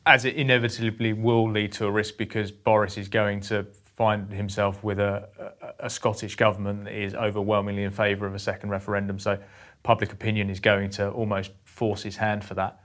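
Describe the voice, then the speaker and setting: A man hosting a finance podcast, chatting in a brisk, self-deprecating way as he puts questions to a colleague. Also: lowish voice, flat delivery, fairly bright tone. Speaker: podcast host, COO. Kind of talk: casual finance chat.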